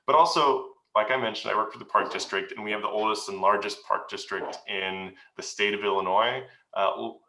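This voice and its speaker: A man's voice: fairly low pitch, sharp tone. Earnest, reflective man in an echoey room. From United States. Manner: reflective, earnest, halting with many pauses. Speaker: guest speaker. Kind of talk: answering questions at a meeting. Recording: roomy echo.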